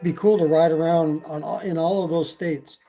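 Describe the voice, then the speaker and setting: A man's voice: a little high, fairly even intonation, normal speed, dark tone, faint music behind. A man from Canada with a slight Canadian accent, hosting a casual e-bike live stream and chatting with viewers, with lots of fillers. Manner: rambling, many fillers, reads chat. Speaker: e-bike channel host.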